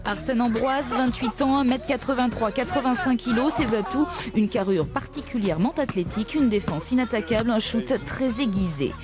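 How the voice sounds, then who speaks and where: A woman reads a TV news report in short, punchy phrases, and her voice stays at a medium pitch. Music plays clearly under her narration. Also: normal speed, few pauses. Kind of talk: scripted news voice-over.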